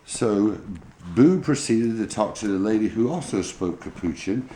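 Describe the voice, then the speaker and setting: A man's voice: lowish voice, expressive, unhurried, dark tone, echoey room, slight background noise, poor audio. A man telling an informal children's bedtime story in a folksy, colloquial way. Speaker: pet channel host telling a bedtime story.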